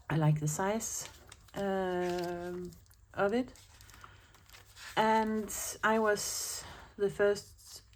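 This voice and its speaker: A woman's voice: medium pitch, slight background noise. A woman from Denmark speaking English with a Danish accent, chatting casually at home about her planner, with many fillers and restarts. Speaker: planner vlogger. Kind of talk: casual chat to camera.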